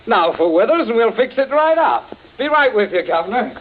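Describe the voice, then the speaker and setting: A man's voice: very high voice, big pitch swings, moderate pace, heavily acted. An actor playing an eager, casually cruel henchman, breezy and taunting, in a vintage radio suspense play. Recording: tinny, hissy old radio broadcast.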